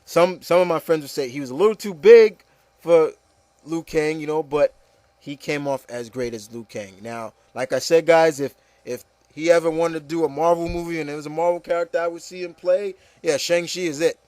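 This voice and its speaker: A man's voice: a little high, moderate pace, a little mellow, no room sound. A man giving casual, rambling opinion commentary, repeating phrases and addressing listeners emphatically. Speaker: video commentator.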